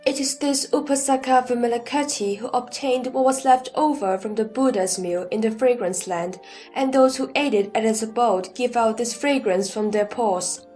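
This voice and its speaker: A reverent, earnest disciple voiced by a woman explains formally in a sutra audio drama. The voice is fairly high, over soft music. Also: normal speed, natural voice. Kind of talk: audio drama scripture dialogue. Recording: background music under the voice.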